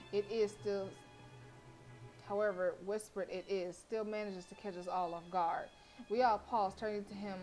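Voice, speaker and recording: A woman streams a story game, moving between reading narration and informal reactions. Her voice sits at a middle pitch and is expressive. Faint game music plays behind her. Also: poor audio. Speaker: livestreamer reading a visual novel. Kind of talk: casual game commentary and read-aloud narration.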